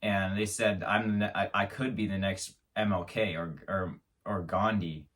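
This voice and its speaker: Man host with a lowish voice, stammering through a deadpan tall tale, mic set back from him. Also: normal speed, recorded from a distance. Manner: rambling, stammering restarts, mock-serious. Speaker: show host. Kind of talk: absurd comedic monologue.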